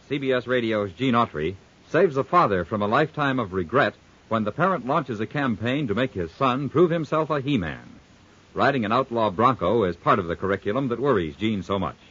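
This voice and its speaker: American male announcer, 1950s radio style, lively intonation, slightly rough voice, muffled recording. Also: medium pitch.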